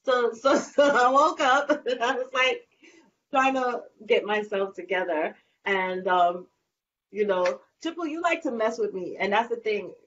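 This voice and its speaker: A woman's voice: on the high side, measured pace. A woman singer, chatting animatedly as an interview guest and acting out little scenes. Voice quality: light voice. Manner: animated, laughing, acts out quotes. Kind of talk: casual interview chat. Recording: lo-fi with faint noise.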